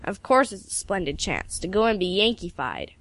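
A woman's voice: medium pitch, sing-song, moderate pace. A woman voicing a cranky, opinionated old male mentor in a storybook, grumbling and emphatic. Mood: grumpy, scornful.

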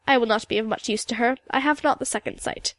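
A woman's voice: fairly high pitch, fast talker, fairly bright tone, no room sound, close to an everyday voice. A woman voicing a composed, proud, sorrowful elderly woman in an audiobook, with lilting old-country phrasing and a habit of tagging on "oh yes". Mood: composed, knowing. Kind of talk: audiobook character dialogue.

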